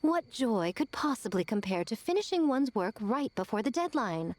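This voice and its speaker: A woman's voice: fairly high pitch, big pitch swings, moderate pace, a little mellow. An actress playing a sly, confident, teasing woman in a video game, with a poised and theatrical delivery. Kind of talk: video game cutscene acting.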